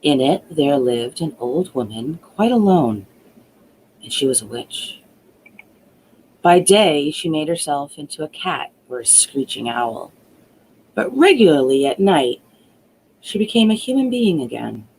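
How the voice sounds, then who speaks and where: A woman narrating a fairy tale as a storyteller, deliberate and dramatic. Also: sits low, lively intonation, a little mellow, a little hiss or hum, low-quality recording.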